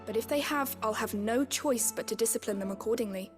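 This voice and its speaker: Actress as a polite teacher in a fantasy game, measured n proper, highish voice, music behind. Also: female voice, close to an everyday voice. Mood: concerned, firm. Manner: measured, well-spoken. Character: polite, earnest schoolteacher woman, gently firm. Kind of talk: video game voice acting.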